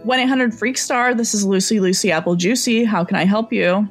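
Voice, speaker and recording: A woman's voice: mid-range voice, a little fast, breathy edge. A woman as a flowery hotline astrologer. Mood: welcoming. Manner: flowery, dramatic, pet names. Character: theatrical female astrologer hotline host. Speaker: call-in astrologer. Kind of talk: scripted comedy sketch.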